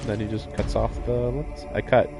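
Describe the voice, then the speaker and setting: A man's voice: on the low side, steady tone, moderate pace, creaky. A man on a live game stream, reading narration aloud and breaking off for casual, puzzled commentary. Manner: puzzled, questioning asides. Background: game music.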